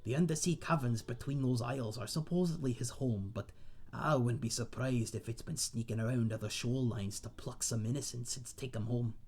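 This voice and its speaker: A man playing a friendly tavern owner and former sailor, husky and chatty, telling tales in a folksy seafaring dialect in a fantasy audio roleplay. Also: medium pitch, brisk, darkish tone. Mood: reflective storytelling. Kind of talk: ASMR roleplay monologue in a fantasy setting.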